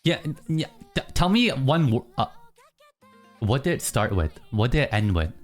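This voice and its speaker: A streamer in casual live-stream chatter, teasing chat and answering in mock outrage between low, mumbled musings. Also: sing-song, normal speed, faint music behind. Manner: reacts to chat, teasing, mock-indignant bursts.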